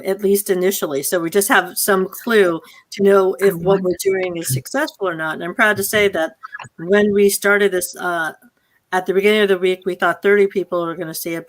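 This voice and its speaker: A woman's voice: lowish voice, fairly even intonation, moderate pace, rough recording. Woman hosting an online discussion. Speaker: theater moderator and host. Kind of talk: live question-and-answer discussion. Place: online video call.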